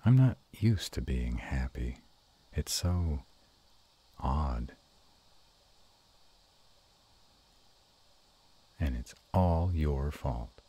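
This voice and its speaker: A man playing an affectionate, bashful, gruff were-dragon lover in an intimate romantic roleplay audio, with a slow, teasing murmur. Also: lively intonation, dark tone, heavily acted. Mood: bashful, happy. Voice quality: very deep and husky.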